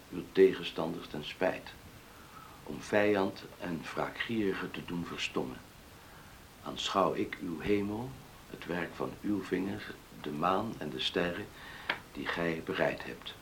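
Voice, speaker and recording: An actor playing an earnest, blunt grown farm son in a Dutch radio play. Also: male voice, deep voice, a little slow, gravelly. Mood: solemn reading. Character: plain-spoken, dutiful grown son on a farm. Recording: noisy old radio play recording.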